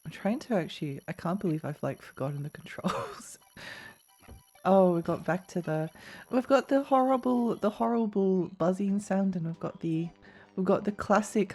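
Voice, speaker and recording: A woman's voice: mid-range voice, a little mellow. Giggly, rambling woman streamer. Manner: rambling, giggly, many fillers and restarts. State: slightly croaky voice. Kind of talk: casual live-stream chatter while gaming.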